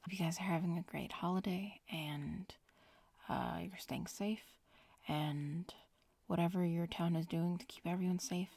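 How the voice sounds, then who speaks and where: Slow, breathy whispering young woman, ASMR. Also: on the low side, no echo. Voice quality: breathy, soft whisper with some fry. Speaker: ASMR video creator. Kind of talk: ASMR, casual whispered chat.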